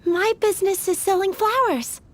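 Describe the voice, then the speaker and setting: A woman's voice: extremely high pitch, moderate pace, a little hiss or hum. A woman voicing a girlish, nervous and eager young flower seller in a video game, sweet and easily flustered. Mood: eager, helpful.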